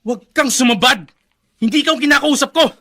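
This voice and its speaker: A man's voice: very high-pitched, brisk, vocal fry, sharp tone, exaggerated voice. A Filipino actor playing a hot-tempered, bitter young man in a radio drama, brash and emphatic. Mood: furious, accusing.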